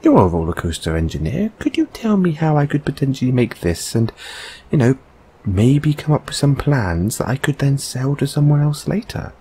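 A man playing a gentle, encouraging boyfriend in a comfort audio roleplay, speaking softly and haltingly with reassuring tag questions. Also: medium pitch, sing-song, slight background noise. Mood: musing.

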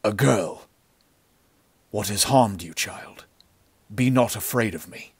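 Guy doing a grim gentle wanderer with a sword, storybook style, low soft murmur, really slow. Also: husky, no room sound, full character voice. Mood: soothing concern.

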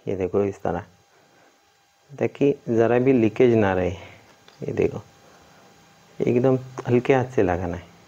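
A man's voice: on the low side, slow, vocal fry, long pauses, warm tone. A man giving hands-on instructional commentary as a mechanic, explaining and pointing things out step by step. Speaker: car mechanic presenting a tutorial. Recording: dull lo-fi sound.

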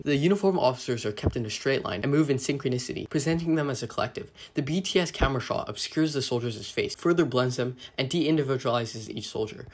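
A man narrates a scripted video essay at a quick clip. His voice is husky, set at an ordinary male pitch. He reads formally, as if from an academic script, and now and then trips over a word. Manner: formal read-aloud delivery with occasional stumbles. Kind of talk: scripted narration, film analysis.

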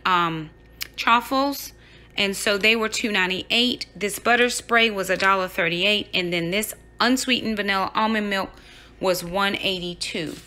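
A woman's voice: on the high side, pushed and bright. A woman in her thirties at home, chatting through a grocery haul and listing each item and its price. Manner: lists items and prices item by item, chatty asides. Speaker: vlogger, mom. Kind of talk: casual grocery haul chat. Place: home kitchen counter.

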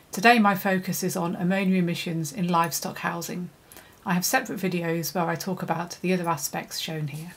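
Low-voiced woman presenting a lesson. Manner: measured, clear, steady delivery. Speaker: environmental consultant. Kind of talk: scripted educational presentation. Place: indoor studio, plain background.

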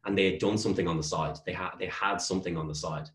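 Deep-voiced guy on a video call interview, echoey room, casual but emphatic advice. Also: steady tone, quick pace, fairly bright tone. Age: 30s. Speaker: guest, customer success executive. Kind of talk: conversational interview answers. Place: small bare room at home, on a video call.

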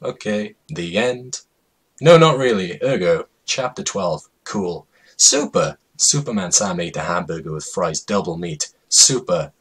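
A man with a husky voice giving a mock-dramatic, emphatic comedic reading of a story as its narrator. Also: sits low, expressive, a little slow, a bit bright.

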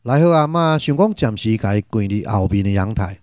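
A man with a Taiwanese accent narrating a radio drama in an expressive, literary storytelling style. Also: on the low side, fairly quick, dry recording.

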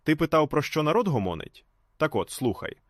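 A man's voice: a little high, very animated, brisk, no room sound, studio quality, pitched above the performer's own voice. A man voicing a young captive Ukrainian woman, hospitable and curious, in a folk tale. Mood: confiding.

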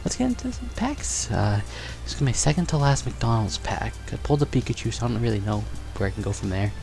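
Upbeat young man with gravelly voice. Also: medium pitch, quick pace, faint music behind. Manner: upbeat, chatty, excited exclamations. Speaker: card collecting vlogger. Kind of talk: casual unboxing chat. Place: bedroom studio, indoors. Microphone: headset.

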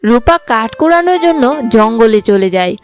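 Fairly high-voiced woman narrating a fairy tale. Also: lively intonation, normal speed, soft background music.

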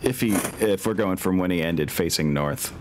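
A man talking casually as a game master, often thinking his decisions out loud. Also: deep voice, moderate pace, background noise. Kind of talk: casual tabletop game talk. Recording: music playing behind the voice. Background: background music.

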